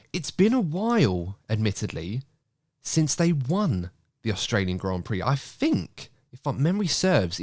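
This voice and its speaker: Male podcast host, slightly croaky with a cold, low voice, dry close sound, upbeat and chatty. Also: dry recording. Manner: chatty, upbeat, running the show. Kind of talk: casual podcast chat.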